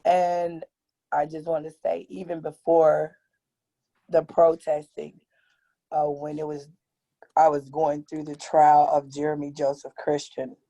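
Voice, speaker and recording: A woman with a raspy, hoarse voice telling a personal account on an online panel, halting and pausing often. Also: low voice, level tone, warm tone, poor audio. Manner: slow, halting, with long pauses. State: hoarse from tear gas. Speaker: activist guest. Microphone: video call.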